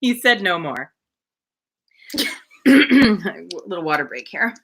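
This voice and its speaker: A woman hosts a live reading of a classic novel. Her voice is bright and very animated in pitch, and she mixes narration with casual asides. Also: takes it slowly. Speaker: reader, livestream host.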